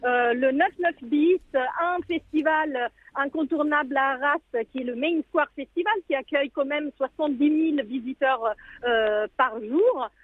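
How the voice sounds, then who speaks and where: A woman answers radio questions over a thin, tinny phone line. Her voice sits high for a woman. She hesitates constantly, filling gaps and restarting phrases. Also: a little slow. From Italy. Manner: hesitant, many fillers and restarts. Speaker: museum director, guest. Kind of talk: live radio interview answers.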